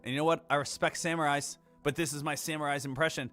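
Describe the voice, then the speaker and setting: A man's voice: fairly high pitch, steady tone, quick pace, a bit bright, no room sound, faint music behind. A man hosting a live day trading stream, chatting casually with viewers in a brash, joking, crude way. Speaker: day trading livestream host.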